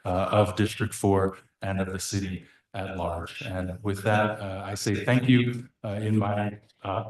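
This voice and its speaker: A man whose first language is Samoan, a city council member giving a heartfelt farewell speech, halting and full of fillers and restarts. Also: on the low side, level tone. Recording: echoey council chamber.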